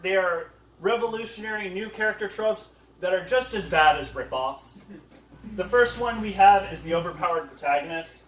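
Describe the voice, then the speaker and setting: A man's voice: high voice, a bit bright. A man giving a comedic panel presentation as a host, swearing freely in a mock rant. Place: convention panel room. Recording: echoey room, far from the mic.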